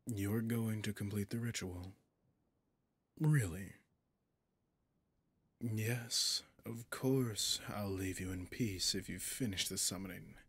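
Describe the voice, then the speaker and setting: A man playing a possessive, mocking male demon in an ASMR roleplay, seductive and sinister, with a breathy, often whispering, teasing drawl. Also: fairly low pitch, slow, pauses often, fairly bright tone, no echo, exaggerated voice. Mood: coaxing.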